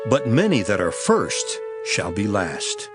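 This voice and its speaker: Deep male voice, solemn teacher, measured declamation, sweeping intonation, dramatized scripture. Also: wildly swooping pitch, music in the background, heavily acted. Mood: solemn, declamatory.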